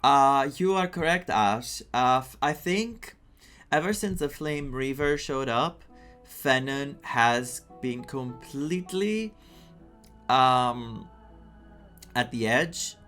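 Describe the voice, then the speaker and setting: Slow-talking, musing game streamer. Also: mid-range voice, expressive, a bit bright, faint music behind. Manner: musing, emotive, reflective. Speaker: streamer playing a story game. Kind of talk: casual playthrough commentary, reading some dialogue aloud.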